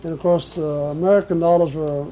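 An older man from Portugal, reminiscing in an oral history interview, who talks in a halting, reflective way as he searches his memory. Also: fairly high pitch, steady tone, warm tone, a little hiss or hum. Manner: halting, reflective, slow recall.

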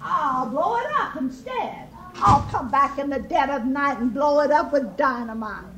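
A greedy, menacing storybook wolf, acted out by a woman, blustering loudly in slow, boastful threats. The delivery swings widely in pitch and echoes around a noisy room. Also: animated. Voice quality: loud, blustering. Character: gleeful, greedy, menacing male big bad wolf. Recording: echoey room with clear background noise.

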